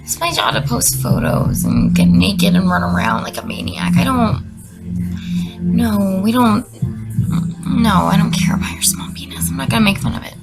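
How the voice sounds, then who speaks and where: A woman in her twenties talks over prominent music with plenty of vocal fry. Her pitch sits mid-range as she delivers loose, sardonic comedic commentary. She rambles and swears freely, sounding exasperated. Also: very animated, moderate pace, whispered. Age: 20s. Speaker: video creator. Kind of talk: casual comedic commentary with read-out messages.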